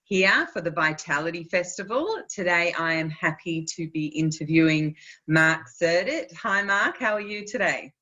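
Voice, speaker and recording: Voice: mid-range voice, animated, normal speed, sharp tone. A festival host opens a chat session and interviews a guest, giving brief encouraging replies between questions. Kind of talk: friendly scripted interview questions. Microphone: video call. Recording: dull lo-fi audio.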